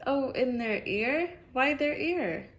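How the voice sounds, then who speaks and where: A woman's voice: sits high, sing-song, takes it slowly. A woman reading a picture book aloud to a child, encouraging and often echoing answers back as questions. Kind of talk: dialogic storybook reading with questions.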